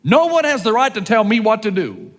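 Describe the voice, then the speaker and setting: A man in his fifties, a pastor, preaching a sermon with animated, joking delivery and rhetorical questions. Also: high-pitched, fairly quick, long reverb tail. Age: 50s.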